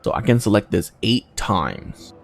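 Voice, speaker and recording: Low, creaky-voiced man gaming. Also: huge pitch swings, measured pace. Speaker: gaming video host. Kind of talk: casual game playthrough commentary, reading dialogue aloud. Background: game music.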